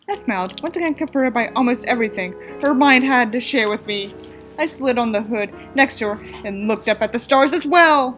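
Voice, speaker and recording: Woman doing a snarky dramatic story reading, highish swoopy voice, music underneath. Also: a bit bright. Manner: sarcastic, theatrical. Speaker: reader and commentator. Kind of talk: mocking dramatic reading with sarcastic asides.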